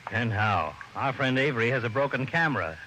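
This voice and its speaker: An actor playing a gruff, good-natured, doubting veteran police partner with a grumbling, exclamatory manner in an old-time radio detective drama. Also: male voice, mid-range voice, lively intonation, a little fast, bright, forceful tone, slight background noise, poor audio. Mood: eager, reporting.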